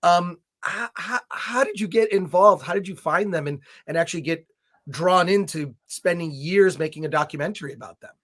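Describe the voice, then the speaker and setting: A man's voice: high-pitched, normal speed, fairly bright tone. A man hosting a podcast in a casual, enthusiastic chat, his voice a little scratchy. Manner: chatty, enthusiastic, many restarts. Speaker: podcast host.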